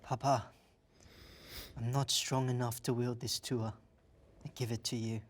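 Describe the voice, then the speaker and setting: Woman playing a low-voiced, earnest young boy. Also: flat delivery, unhurried. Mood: earnest, solemn. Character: earnest young boy of a tribe, doubting himself. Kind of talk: table read of a fantasy audio drama.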